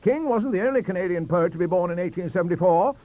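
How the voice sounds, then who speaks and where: Man playing a high, blustering, boastful professor. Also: lively intonation, fast, narrow, tinny audio. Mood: blustering, defiant. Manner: blustering, stammering, emphatic. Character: excitable, boastful male Canadian professors squabbling loudly.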